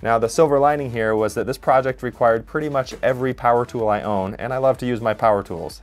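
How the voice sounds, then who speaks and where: Upbeat man narrating a build. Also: mid-range voice. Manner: enthusiastic, self-deprecating. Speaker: DIY channel host. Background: faint background music.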